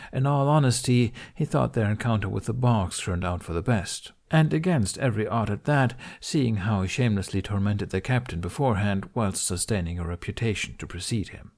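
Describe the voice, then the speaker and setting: Low-voiced man narrating a fantasy audiobook. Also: a little mellow. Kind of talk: expressive scripted fantasy narration.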